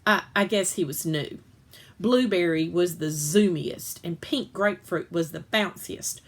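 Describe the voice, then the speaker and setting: A woman reads a first-person children's story aloud in an expressive narrating style. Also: on the low side, measured pace. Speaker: story reader.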